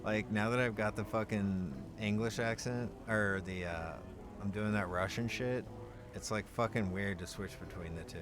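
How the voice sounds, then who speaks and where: A man's voice: on the low side, normal speed. A man chats casually over his gameplay, swearing freely. He laughs at his own stumbles and mixes running commentary with loose asides. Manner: profane, laughs at himself. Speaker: let's play gamer.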